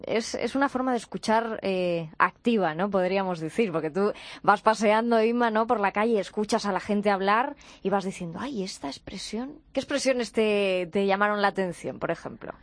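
A woman's voice: mid-range voice, moderate pace, dry recording. Spanish woman interviewer, close dry sound. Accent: Spanish from Spain. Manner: engaged, interjecting, thinking aloud in tag questions. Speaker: interviewer, host. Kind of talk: conversational interview.

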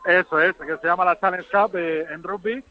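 A man's voice: high voice, fairly even intonation, moderate pace, slight background noise. A man reporting live from outside a rugby final, chatting and joking with fans around him. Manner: chatty, jokey, interviews fans on the spot. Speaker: field reporter at a rugby match. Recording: dull lo-fi remote line.

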